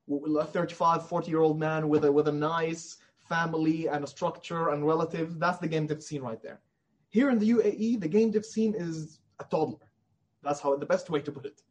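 A man with a husky voice, speaking earnestly and emphatically as a guest in a conversational interview. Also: sits high, darkish tone. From Middle East. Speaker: indie game developer being interviewed.

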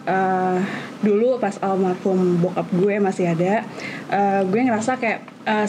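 Woman mid 20s, hesitant candid talk, medium pitch, noticeable noise behind her. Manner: hesitant, searching for words. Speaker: panel participant, working mother. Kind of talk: candid personal reflection. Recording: clear background noise.

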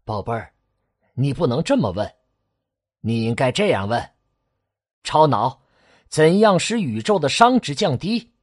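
Casting: male actor as tender, patient radio-drama dad, husky mid-pitched voice, dry close sound. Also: sing-song, measured pace, pauses often, no echo. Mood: reassuring. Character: patient, tender father explaining things to children. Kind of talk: Chinese science-fiction radio drama acting.

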